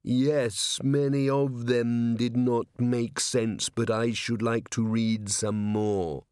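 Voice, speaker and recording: A man's voice: mid-range voice, little pitch movement, darkish tone, clean tone, no room sound, studio quality, natural voice. A man voicing a huge male golem in an audiobook, solemn and literal-minded, speaking in formal, ponderous phrases. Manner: formal, deliberate, ponderous wording. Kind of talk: audiobook character voice.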